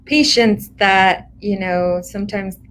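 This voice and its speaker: A woman's voice: mid-range voice, steady tone, slow pace, a bit bright, a little hiss or hum. A woman hosting a casual live interview in a welcoming, encouraging way. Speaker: interview host.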